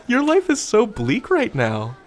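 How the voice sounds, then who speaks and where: Wildly swooping, melodramatic schoolboy. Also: male voice, high-pitched, huge pitch swings, measured pace, a little hiss or hum, exaggerated voice. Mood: incredulous. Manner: theatrical, exaggerated. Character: melodramatic, excitable twelve-year-old schoolboy. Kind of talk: scripted children's audio drama.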